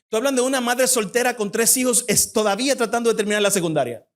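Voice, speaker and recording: A young man with a Dominican Spanish accent, a guest speaker giving a fervent church appeal and personal testimony. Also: extremely high pitch. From Dominican Republic. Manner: fervent, fast-talking preacher.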